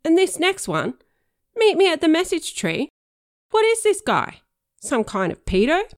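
A woman voicing a gruff, impatient male police officer with a gravelly, brusque, dismissive way of talking. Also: very high voice, wildly swooping pitch, unhurried, no room sound, studio quality, voice pushed up, full character voice. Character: gruff impatient male cop, mocked up by a teen girl.

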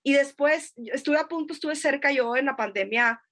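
A high-pitched woman with a northern Mexican Sonoran accent, in her thirties. She talks casually on a podcast, pausing often and trailing into fillers and little tag questions as she recounts her experiences. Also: a bit bright. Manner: hesitant, many fillers and restarts, frequent tag questions. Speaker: podcast guest. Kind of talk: casual conversational storytelling.

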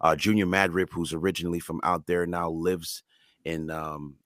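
A young man with a very low voice talks loosely in English, a second tongue he drops slang into. He restarts phrases often and keeps a relaxed street tone. The recording is close and dry, with no room sound. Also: moderate pace, no echo. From Canada. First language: French. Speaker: guest hip hop artist. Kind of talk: casual interview talk.

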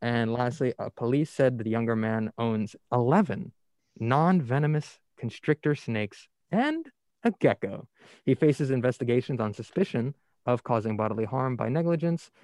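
Young male host, college radio, playful news reader, middle pitch, dry booth sound. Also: lively intonation, normal speed, a little mellow, no room sound. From New Jersey. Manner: upbeat, playful, reads stories aloud. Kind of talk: casual news chat.

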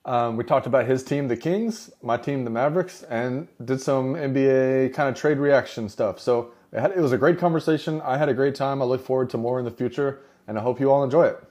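A man's voice: mid-range voice, brisk, hardly any pauses, a little mellow, no room sound. A man hosting a casual interview podcast, talking in an easygoing, rambling way with many restarts. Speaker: podcast host.

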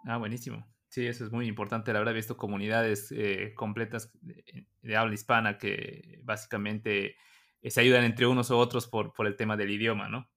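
A man's voice: fairly low pitch, level tone, moderate pace, creaky, fairly bright tone. A man hosting a podcast interview, asking questions with frequent hesitations and repeated words. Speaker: podcast host. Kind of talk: casual interview.

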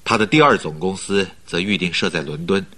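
An actor in a Mandarin radio drama playing a polite, flattering company recruiter who talks in a measured, persuasive way. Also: male voice, deep voice. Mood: persuasive, explaining. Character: smooth-talking male company recruiter, flattering, persuasive schemer.

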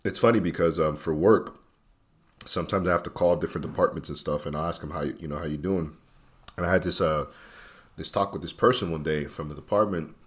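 A man's voice: low voice, brisk. A man co-hosting a podcast, chatting loosely and playfully with plenty of swearing. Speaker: podcast co-host. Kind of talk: casual podcast chat.

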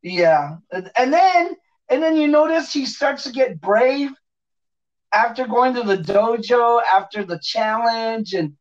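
A man's voice: very high-pitched, lively intonation, measured pace. A man co-hosting a podcast, chatting in casual slang with an agreeable, joking, sometimes profane manner. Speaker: podcast co-host. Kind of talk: casual, slangy movie chat. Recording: dull lo-fi audio, distant from mic.

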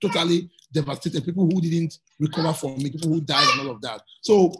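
A man's voice: fairly high pitch, fairly quick, crowd noise. A Nigerian man from Lagos, answering interview questions earnestly and often repeating words as he searches for them. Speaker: guest journalist.

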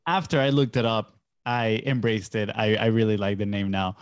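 A man's voice: fairly low pitch, steady tone, normal speed, clean tone, no echo, studio quality. Upbeat young man from Venezuela. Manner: upbeat, loose storytelling with many fillers. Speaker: podcast guest, entrepreneur. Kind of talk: casual interview chat.

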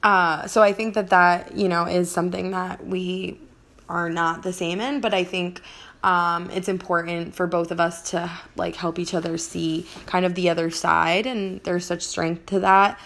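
A young woman from Colombia talks on a podcast in a soft-spoken, hesitant way with many pauses. Her voice is slightly low, with a gravelly edge and a flat, even pitch. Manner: soft-spoken, many ums, gentle laughs. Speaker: podcast co-host. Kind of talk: casual podcast chat.

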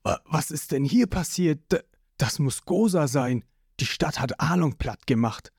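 A man's voice: fairly high pitch, lively intonation, measured pace, darkish tone, breathy edge, no echo, studio quality, full character voice. A man voicing a nervous young male bounty hunter in a storybook retelling, stammering as he talks.

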